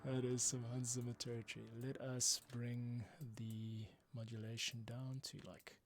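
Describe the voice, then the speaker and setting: A man's voice: medium pitch, flat delivery, measured pace, no echo. A man hosting a livestream as a composer, casually explaining and thinking aloud as he works. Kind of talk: casual tutorial while working. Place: small home closet studio. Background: music cues playing.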